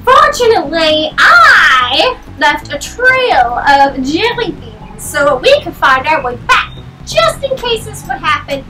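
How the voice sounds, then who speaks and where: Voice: very high voice, sing-song, slow, very noisy, heavily acted. A performer playing a prim, clever, exasperated little girl in a comic fairy-tale sketch. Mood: smug, matter-of-fact. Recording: echoey, distant, loud music over voice.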